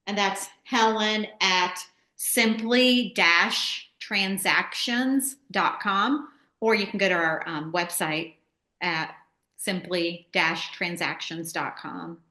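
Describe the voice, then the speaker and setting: A woman from Charlotte in the US, chatting casually as an interview guest and explaining things in an informal, halting way. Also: lowish voice, pushed and bright. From born in Greece, grew up in Charlotte, USA. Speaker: podcast guest, real estate transaction coordinator. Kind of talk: casual chat. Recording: echoey room.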